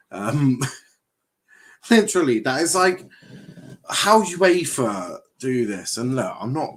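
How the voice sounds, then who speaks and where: A young man from England with a fairly low voice, talking loosely through a live football watchalong. He rambles, restarts his sentences and swears casually as the play unfolds. His delivery is relaxed and offhand. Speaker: watchalong streamer. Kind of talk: casual live match commentary.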